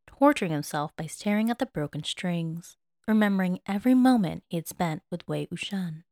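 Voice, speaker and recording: A woman's voice: fairly low pitch, no echo, studio quality. A woman narrating fan fiction as a dramatic audiobook.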